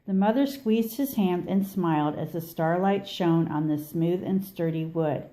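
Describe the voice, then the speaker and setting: A woman reading a children's story aloud expressively, with measured delivery and dramatic pauses. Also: on the low side, mellow. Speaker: storybook reader.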